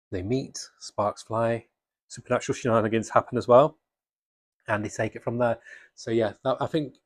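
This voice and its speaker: A man's voice: mid-range voice, no echo. A man presenting unscripted fan commentary to viewers in a casual, rambling, enthusiastic way. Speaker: comics video presenter.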